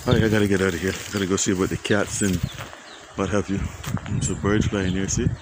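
A man's voice: low-pitched, flat delivery, fast talker. Rambling man narrating on a windy walk. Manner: rambling, filler-heavy, thinks aloud. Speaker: nature vlogger. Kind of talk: casual walking narration. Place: outdoors on a windy coast and scrub. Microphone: phone camera held by the speaker. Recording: loud wind and outdoor noise.

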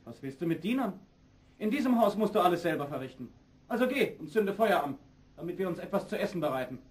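Stern minstrel husband, fairy-tale play, male actor, fairly high voice, scolding, slightly off-mic. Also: very animated, quick pace, distant mic. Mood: matter-of-fact.

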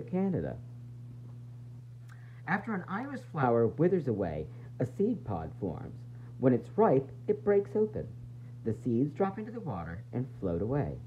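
Very animated man reading to young children. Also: mid-range voice, highs cut off, a little hiss or hum. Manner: dramatic emphasis with playful asides. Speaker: teacher reading a picture book aloud.

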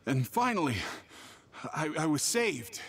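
A man's voice: on the high side, big pitch swings, slow, a bit bright, slight background noise, exaggerated voice. A man voicing a nervous, cowardly traveling merchant in a video game, stammering and given to exaggeration. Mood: shaken.

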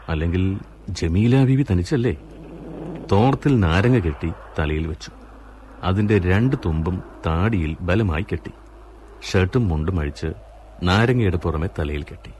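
Deep low voiced guy reading a radio drama narration, steady and measured. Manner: measured storytelling delivery. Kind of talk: scripted radio story narration.

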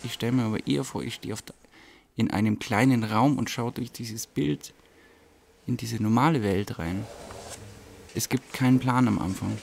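A man, a painter, reflecting on his own work in a halting, searching interview manner. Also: medium pitch, creaky, a little mellow, slight background noise.

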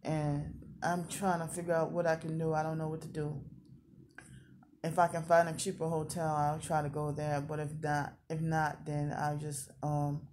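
Woman, thirties, dark soft-edged tone, monotone, rambling confessional vlog talk, repetitive. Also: sits low, normal speed, a little hiss or hum. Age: 30s. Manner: rambling, repeats herself. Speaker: vlogger.

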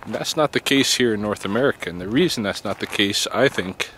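Middle-aged male vlogger, lowish voice, unhurried and reflective, recorded outdoors with loud wind on the mic. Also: expressive. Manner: halting, reflective, with long pauses. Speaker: vlogger on a night hike. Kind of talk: casual spoken vlog, thinking aloud. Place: outdoors at night, open field. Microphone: camera held close to face. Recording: windy outdoor camera mic, noisy. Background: wind noise.

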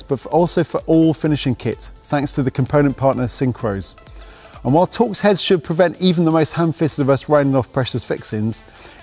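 Man giving a brisk bike review. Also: medium pitch, darkish tone. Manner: brisk, technical, matter-of-fact. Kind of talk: scripted review narration. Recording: faint music underneath.

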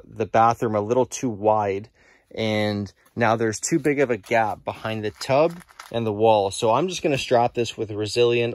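A man's voice: on the low side, no room sound, studio quality. A young man giving a casual walkthrough of a basement renovation he is working on, explaining as he goes and calling his viewers "guys" again and again. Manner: casual, explanatory, says "guys" and "right?" often. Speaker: real estate investor vlogger. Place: basement under renovation, indoors. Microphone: phone camera held at arm's length.

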